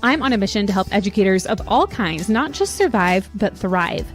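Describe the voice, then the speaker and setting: A woman hosting a live podcast, bubbly and quick to laugh as she reads out chat comments. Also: medium pitch, lively intonation, faint music behind. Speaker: podcast host.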